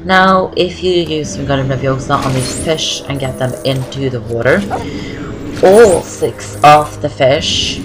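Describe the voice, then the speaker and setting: A young woman talks through a video game. She is chatty and rambling, often repeating herself, with music playing clearly behind her. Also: rumbling low, unhurried. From Norway. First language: Norwegian. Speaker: gaming video host. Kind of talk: casual game walkthrough commentary.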